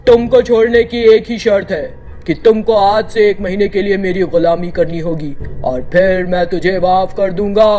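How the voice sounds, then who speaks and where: A man's voice: high voice, steady tone, normal speed, hardly any pauses, mellow, muffled recording, faint music behind, lightly acted. A man playing a stern, boastful ghost-banishing master, pompous and menacing, in a cartoon storybook tale. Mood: commanding. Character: stern, boastful male witch-hunter, vengeful and commanding. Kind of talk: Hindi cartoon storybook acting.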